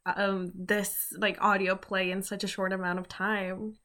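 A woman's voice: medium pitch, little pitch movement, unhurried, sharp tone. A woman hosting a casual interview, asking her guests questions in a conversational style. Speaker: host, interviewer.

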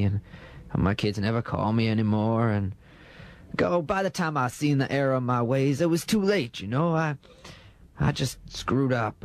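A man puts on a meek, woeful old retired miner in a comedy sketch. He talks in halting, folksy phrases with a humble stammer. The sound is dry, with no room around it. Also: medium pitch, no room sound, close to an everyday voice. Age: older. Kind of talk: comic testimony.

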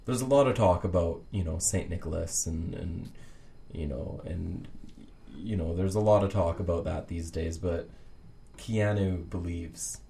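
A man with a deep, dark, mellow voice co-hosting a radio show. His delivery is casual, dry and understated, with some hesitation between phrases. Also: measured pace, creaky. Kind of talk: casual co-hosted radio chat. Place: radio studio.

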